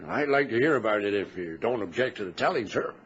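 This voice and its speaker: An actor playing an old sea captain near retirement in a radio drama, genial, plain-spoken and politely inquisitive. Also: male voice, on the low side, animated, fast talker, lightly acted. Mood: curious. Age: older. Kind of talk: period radio drama acting. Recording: muffled radio drama with faint background noise.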